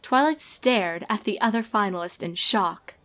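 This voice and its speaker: A woman reading scripted story narration in a measured, expressive storytelling manner. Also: medium pitch. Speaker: fan fiction reader and narrator.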